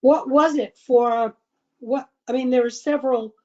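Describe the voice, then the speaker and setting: A woman with a high voice chimes in with short, quick remarks at a town board meeting. The audio is dull and lo-fi, like local cable TV. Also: fairly even intonation, darkish tone. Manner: quick interjections. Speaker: meeting participant. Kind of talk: meeting discussion.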